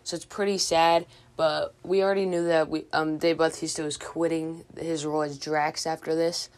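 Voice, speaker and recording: A woman's voice: low voice, flat delivery, normal speed. A woman hosting a podcast in a casual, informal way, hesitantly thinking aloud as she goes. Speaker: podcast host. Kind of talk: casual movie news chat.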